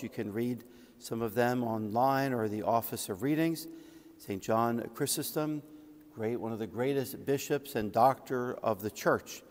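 A middle-aged man delivers a homily from the front of a large church. His voice sits at an ordinary male pitch and rings with long reverb. He speaks in measured phrases, like a priest telling a saint's life and reading from old writings. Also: a little mellow. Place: large church sanctuary, at the ambo.

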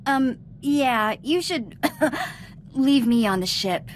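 A woman's voice: high-pitched, lively intonation, extremely slow, slight background noise, heavily acted. A woman playing a reluctant, sheepish crew member in a video game, hesitant and casual. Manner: hesitant, casual excuse-making. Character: reluctant, sheepish, wisecracking female shipmate. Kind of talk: scripted video game acting.

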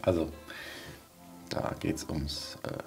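A man in his forties speaks in a deep, low register, as if thinking aloud for a casual vlog. He takes his time and pauses between thoughts. Music plays faintly behind him. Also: little pitch movement, creaky, a little mellow. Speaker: vlogger, audio drama maker. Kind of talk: casual video diary. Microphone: wireless clip-on lavalier.

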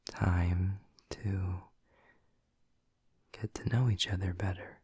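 A man's voice: low voice, fairly even intonation, slow, breathy edge, dry recording, clean studio sound, full character voice. A man playing a flirty, whispering vampire. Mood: teasing. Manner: soft, intimate whispering; teasing and affectionate. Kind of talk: ASMR audio roleplay.

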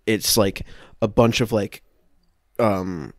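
A young man improvising scene narration for a comedy podcast, halting as he searches for words. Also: lowish voice, no echo, studio quality. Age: young adult. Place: small room at home, video call.

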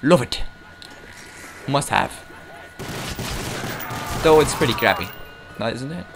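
A man's voice: mid-range voice, animated, slow, music in the background. A young man giving casual, rambling, self-mocking commentary while playing a game. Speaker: gameplay commentator. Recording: noisy game audio behind.

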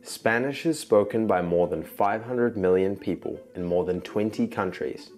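A man with a very deep voice reads a formal promo narration, smooth and scripted. Soft guitar music plays behind him. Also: normal speed.

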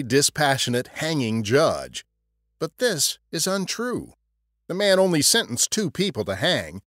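A man's voice: medium pitch, fairly bright tone, no echo, studio quality. A man hosting a history podcast, reading scripted narration in a wry storytelling manner.

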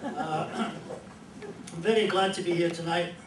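An older man with a Scottish accent telling jokes and a rambling story at a stand mic on a small club stage, in a dry, unhurried way. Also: sits high, background noise. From Scotland. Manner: dry, rambling joke delivery with pauses. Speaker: comedian and musician. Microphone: stand mic, filmed from a distant camera. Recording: roomy club sound from far off.

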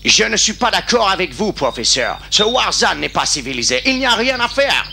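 A man's voice: sits high, sing-song, moderate pace, creaky, bright, forceful tone, a little hiss or hum, heavily acted. A man voicing a pompous, curt big-game hunter in a cartoon. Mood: scornful. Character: haughty, gruff moustached big-game hunter.

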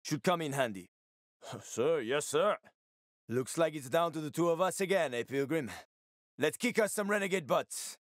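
An actor playing a wry, roguish middle-aged survivor in a video game, with a sardonic, slangy, casual way of talking. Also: male voice, on the high side, animated, moderate pace, dry recording, clean studio sound, lightly acted. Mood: playful banter. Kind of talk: video game character acting.

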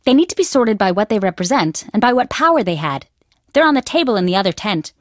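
A woman voicing a young female archaeology student at an Egyptian dig in a video game, animated, by turns nervous and wisecracking. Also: medium pitch, fast talker, dry recording, studio quality, natural voice. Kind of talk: studio game voice acting.

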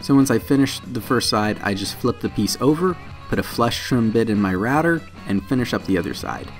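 A man's voice: medium pitch, expressive, a little fast. A man in his thirties, a channel host, narrating a woodworking build in a plain, practical and self-deprecating way. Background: soft guitar music.

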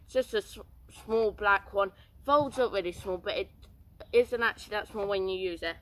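A man's voice: high-pitched, moderate pace, pushed and bright. A teenage boy, rambling casually through outdoor gear in a garden, with many fillers. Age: teens.

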